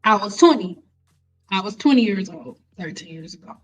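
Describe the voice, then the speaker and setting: A woman's voice: mid-range voice, lively intonation, normal speed, soft background music. A woman in her thirties lecturing viewers on a live stream as an educator, emphatic and repeating phrases to drive them home. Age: 30s. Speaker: host, hair care educator.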